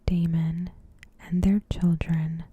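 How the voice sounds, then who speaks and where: A woman softly whispering a scripted recap in a gentle, hushed, breathy ASMR narration. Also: lowish voice, level tone, slow pace, no room sound. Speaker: ASMR host.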